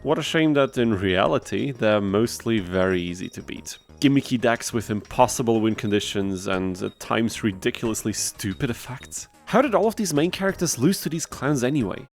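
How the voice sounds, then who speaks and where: A man's voice: medium pitch, expressive, normal speed, hardly any pauses. A man narrating gameplay from a script as a video game YouTuber, in a wry, sarcastic manner. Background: music.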